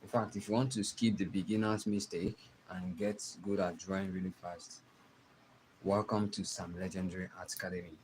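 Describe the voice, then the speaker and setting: A young man with a Nigerian accent, giving a live drawing tutorial and talking softly as he works. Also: low-pitched, flat delivery, slight background noise, poor audio. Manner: slow, soft-spoken, trailing off while drawing. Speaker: art teacher streaming live.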